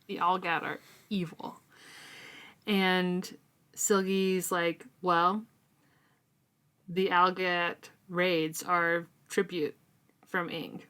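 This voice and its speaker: A woman's voice: lowish voice, very slow, long pauses, clear, resonant tone. A woman co-hosting a book club podcast, chatting casually with lots of "um" and "like" as she recaps chapters. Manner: chatty, relaxed, often says um and like.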